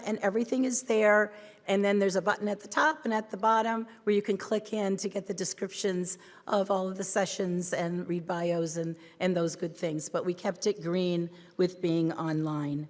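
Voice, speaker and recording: Hoarse woman in a big hall. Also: mid-range voice. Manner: friendly, chatty, many ums and restarts. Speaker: conference co-chair. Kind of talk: welcome address with announcements. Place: large conference hall. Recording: reverberant hall.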